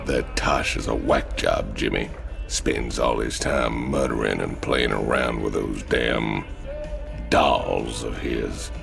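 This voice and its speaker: An actor playing a crude, blunt outlaw soldier in a video game, with a gravelly voice and folksy slang. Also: male voice, slow, full character voice. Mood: scornful, grumbling. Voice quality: gravelly, very deep. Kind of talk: video game cutscene acting. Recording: music behind the voice. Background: game soundtrack music.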